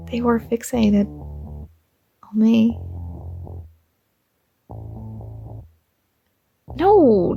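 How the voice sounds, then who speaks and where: A young woman playing a shy, warm college girl with a secret crush, speaking softly and hesitantly in an intimate ASMR roleplay. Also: on the high side, vocal fry. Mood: tender, shy.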